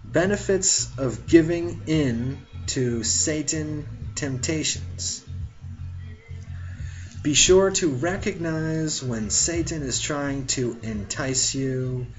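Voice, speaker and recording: A man reading religious teachings aloud in a deliberate way, broken into short phrases. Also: a little high. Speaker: reader. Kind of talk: slow read-aloud of religious teachings with brief asides. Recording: dull lo-fi, faint music behind.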